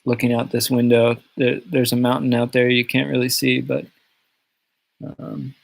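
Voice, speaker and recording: A man chatting casually from his home on a livestream, answering fan questions in a relaxed, rambling way with many fillers and restarts. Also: mid-range voice, normal speed, vocal fry. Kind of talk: casual live Q&A. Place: home studio in the countryside. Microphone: webcam or phone on a livestream.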